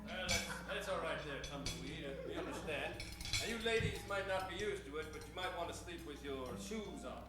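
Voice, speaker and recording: A man's voice: a little high, animated, fairly quick, lightly acted. Man acting a folksy, dramatic western sheriff, echoey. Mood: folksy, easygoing. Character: gruff, courtly old-west sheriff, war veteran. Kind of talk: improvised old-time radio western acting. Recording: echoey stage, loud music behind.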